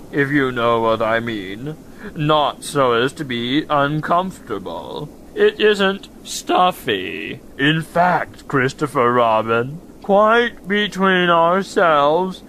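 Guy acting a mopey donkey for an audio play, drags every word, sharp bright tone, noise behind. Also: a little high, big pitch swings, full character voice. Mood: gloomy, resigned. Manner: slow, mournful, understated, long-suffering complaints. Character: gloomy, self-pitying old grey male donkey.

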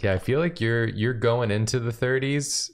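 A man's voice: medium pitch, moderate pace. A man in his thirties chatting casually on an art livestream, emphatic and slangy. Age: 30s. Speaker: streamer.

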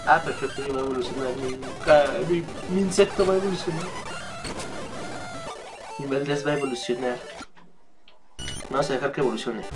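Man, Mexican accent, mid-pitched voice, a bit sick, rambling, hesitant, casual let's play commentary, mild swearing, loud game music behind. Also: rough recording. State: slightly sick. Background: video game music.

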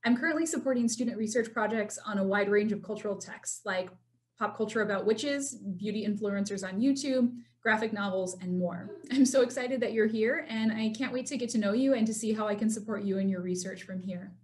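A woman English professor from Southern California introduces herself with upbeat enthusiasm. Her voice sits at a middle pitch. She speaks to a webcam. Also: brisk, a little mellow. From Inland Empire, California. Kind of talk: faculty self-introduction. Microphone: video call webcam.

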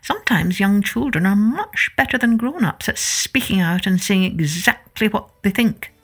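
A woman narrating a children's storybook as a podcast host, in an expressive, deliberate manner. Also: mid-range voice, husky.

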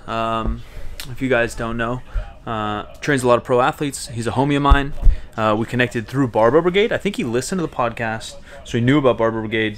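Laid-back young man vlogging on a ship. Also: mid-range voice. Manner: laid-back, slangy, many fillers. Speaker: fitness vlogger. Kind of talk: casual vlog talk to camera. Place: small ship cabin, then ship deck. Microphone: handheld camera mic at arm's length.

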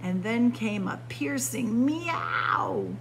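Slow-paced woman reading a picture book aloud. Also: lively intonation, a bit bright. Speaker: library story time reader. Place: indoors by bookshelves. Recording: clear background noise with low hum.

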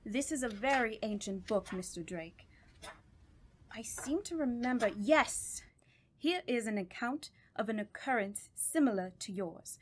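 A woman playing a grave, scholarly doctor of the supernatural in an audio drama, with a formal, deliberate and ominous manner. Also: on the high side, animated. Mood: intrigued.

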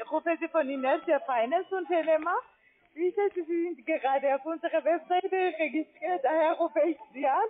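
Very high-pitched woman, phone. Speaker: call centre sales agent. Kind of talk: scripted phone sales pitch. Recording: muffled tinny phone line.

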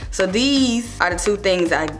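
A woman's voice: mid-range voice, animated, a little slow. Informal American woman vlogging over music. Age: young adult. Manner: informal, slangy, addressing viewers directly. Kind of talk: casual chat to camera. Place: indoors, mostly a home kitchen.

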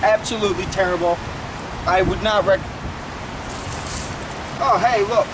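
A young man speaks slowly and haltingly, like a deadpan spoof of a nature host. His voice sits a little high for a man. He is recorded outdoors in a backyard, with clear noise behind him. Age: young adult. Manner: halting, deadpan mock-reverent delivery. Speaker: host of a comedy cooking show. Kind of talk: mock nature-documentary vlog to camera. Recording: noisy outdoor camera audio, background hiss.

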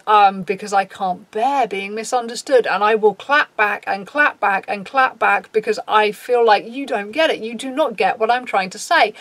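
Woman, 30s, low raspy voice; blunt, sweary talk to camera, dry sound. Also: dry recording. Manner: frank, swears freely, reads out a list and reacts. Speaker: YouTuber. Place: small dim room, indoors.

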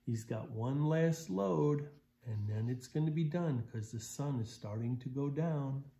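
A man's voice: medium pitch, animated, measured pace, gravelly, mellow. A middle-aged American man reading a picture book aloud, expressively, with simple explanations for young children. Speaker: volunteer story reader. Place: small living room, indoors on a sofa.

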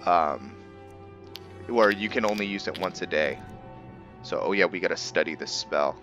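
A man giving casual commentary on his roleplay playthrough, haltingly thinking aloud as he goes. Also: sits low, fairly bright tone, soft background music. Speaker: gamer narrating a roleplay playthrough.

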